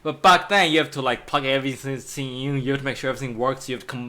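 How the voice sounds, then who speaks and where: A man's voice: medium pitch, fast, sharp tone. Chatty man in his thirties, rambling. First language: Chinese. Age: 30s. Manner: rambling, restarts, laughs often. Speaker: art teacher. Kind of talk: casual chat while drawing.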